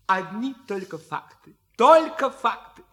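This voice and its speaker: A man playing a brash, nosy American journalist in an audio stage comedy, insistent, excitable and pedantic about facts. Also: high-pitched, measured pace, heavily acted. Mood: officious, eager.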